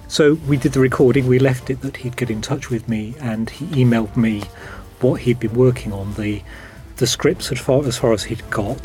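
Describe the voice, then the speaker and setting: A man's voice: medium pitch, darkish tone. A man giving a behind-the-scenes interview account as a producer. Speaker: audio drama producer.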